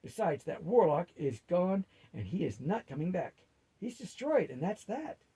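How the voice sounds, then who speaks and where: Guy doing a grumpy demon in a stolen warlock body, argues back, bit husky, storybook reading. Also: sits high, very animated, unhurried, dark tone, exaggerated voice. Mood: defiant. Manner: grumpy, argumentative. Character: grumbling defiant male demon possessing a warlock's body.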